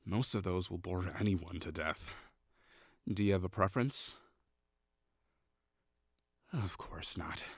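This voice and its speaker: A man's voice: low voice, slow, long pauses, slightly rough, dry recording, studio quality, full character voice. A man playing a cutting, sarcastic college perfectionist. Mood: cold, condescending. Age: young adult. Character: prickly perfectionist young male classmate, condescending. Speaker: voice actor in an audio roleplay. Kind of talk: scripted roleplay acting.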